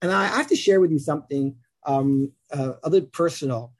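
A man's voice: on the high side, brisk. A man, giving an informal, anecdotal Torah class. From Israel. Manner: conversational, anecdotal. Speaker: Torah teacher.

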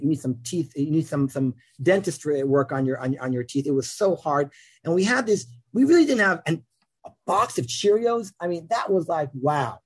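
A man's voice: a little high, slightly rough. A man, giving an informal, anecdotal Torah class. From Israel. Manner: conversational, anecdotal. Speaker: Torah teacher.

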